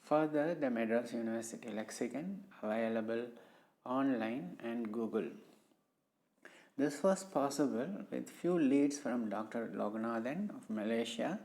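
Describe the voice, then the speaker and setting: A middle-aged man with an Indian English accent, a native Tamil speaker, presenting his research in a hesitant way, with many pauses and fillers. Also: mid-range voice, darkish tone. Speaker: civil engineering professor presenting his research. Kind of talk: slow, hesitant screen-recorded talk.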